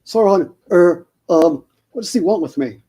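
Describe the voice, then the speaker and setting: A man's voice: high-pitched, sing-song, moderate pace, mellow, breathy edge, pitched above the performer's own voice, heavily acted. A man reading a parody story aloud, voicing a nervous young male hobbit who stammers anxiously. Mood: flustered.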